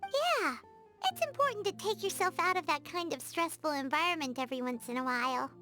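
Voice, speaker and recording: A woman voicing a tiny, excitable floating companion creature who chatters brightly and speaks of herself by name, in a video game. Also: high voice, a little fast, faint music behind.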